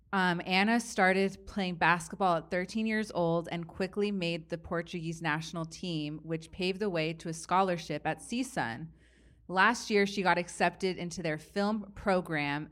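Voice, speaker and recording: A woman hosting a live event and introducing speakers, speaking in a halting way with fillers and restarts. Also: lowish voice, fairly bright tone. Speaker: event host.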